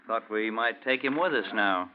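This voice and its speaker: An actor playing a folksy, plain-spoken prospector in casual 1940s radio drama style. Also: male voice, fairly low pitch, moderate pace, noisy background, lightly acted. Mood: easygoing. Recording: dull, band-limited 1940s radio recording.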